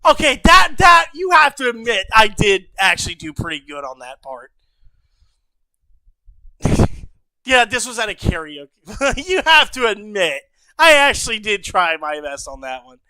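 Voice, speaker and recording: Bright, lively, crude-talking streaming man. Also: sits high, unhurried, no echo, low-quality recording. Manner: swears constantly, breaks into loud laughter and shouts. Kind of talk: crude casual reaction commentary.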